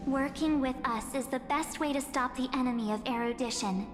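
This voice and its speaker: A woman's voice: high voice, fairly quick, natural voice. An actress playing an uncanny memory spirit who poses as a perky girl in a video game, teasing, breezy and persuasive. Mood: sly, persuasive. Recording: long hall reverb, game music behind.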